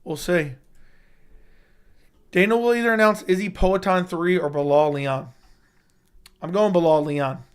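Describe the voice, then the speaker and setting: Hoarse, excitable man on a livestream. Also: sits high, measured pace, pauses often. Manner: excitable, profane, reacting to news live. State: losing his voice. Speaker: MMA YouTube host. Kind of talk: casual livestream chat.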